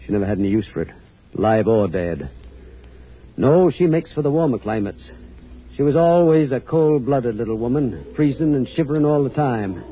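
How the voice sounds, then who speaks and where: Dark-voiced sinister husband played by a man. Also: medium pitch, animated, normal speed, lightly acted, rough recording. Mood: morbidly folksy. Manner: folksy, menacing, matter-of-fact. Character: sinister, controlling older husband, morbidly calm. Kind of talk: 1940s radio drama acting. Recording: old radio broadcast with faint noise.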